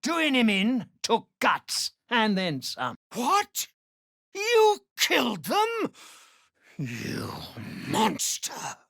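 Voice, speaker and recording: Gruff, desperate miner, swinging pitch. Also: female voice, huge pitch swings, extremely slow, a bit bright, no echo, clean studio sound, heavily acted. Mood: shocked. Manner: urgent, gruff, emotionally intense. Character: desperate, tough female miner held captive underground. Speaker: video game character voice. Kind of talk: scripted game character lines.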